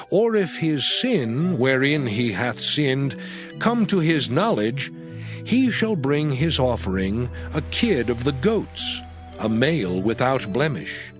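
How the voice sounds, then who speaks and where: A man reading scripture aloud in a solemn, measured dramatized narration, with music playing underneath. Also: medium pitch, big pitch swings. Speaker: scripture reader. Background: music bed.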